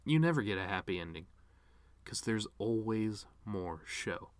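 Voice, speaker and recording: A man's voice: fairly low pitch, expressive, a little slow, no echo. A man performing a dramatic monologue as a bitter, grieving middle-aged cartoon horse-man, sardonic, rambling and wounded. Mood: sardonic, agitated. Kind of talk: dramatic reading of a eulogy monologue.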